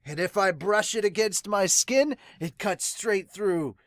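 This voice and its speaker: A woman's voice: medium pitch, measured pace, no echo, clean studio sound, close to an everyday voice. A woman voicing a theatrical male street salesman, declaiming boastfully for a manga dub. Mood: showy, boastful. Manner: theatrical hawker, loudly declaiming. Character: showy male street salesman peddling miracle toad oil.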